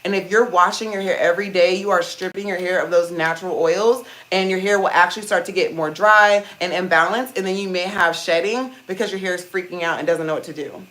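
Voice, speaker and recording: A woman with a deep voice hosting a casual hair-care livestream, chatting to viewers and calling them "you guys" while she steams her hair. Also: a little fast, few pauses, fairly bright tone. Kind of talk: casual live-stream chat reading comments. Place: indoors at home, seated on a small chair. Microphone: phone.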